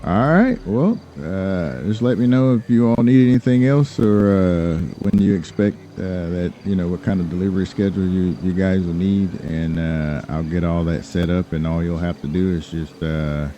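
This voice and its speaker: Low, mellow, businesslike crime boss played by a man. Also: normal speed, hardly any pauses, muffled recording, a little hiss or hum, close to an everyday voice. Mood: calm, helpful. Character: polite businesslike male lawyer and underground crime boss. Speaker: gamer playing online roleplay. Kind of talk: improvised in-character roleplay chat.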